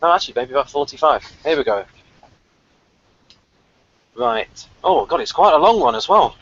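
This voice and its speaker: A man's voice: a little high, animated, moderate pace. A man co-hosting a review show, chatting casually and reading out episode summaries over a lo-fi online call. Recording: dull, lo-fi online call audio.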